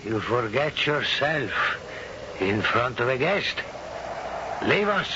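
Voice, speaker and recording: A commanding older nobleman, measured and slow, in a muffled, hissy vintage radio play. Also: medium pitch, sing-song. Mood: stern. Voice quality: formal, commanding delivery. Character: proud, unbending older Spanish nobleman. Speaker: stern aristocratic father. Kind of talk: 1970s radio drama acting. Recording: dull old broadcast with hiss.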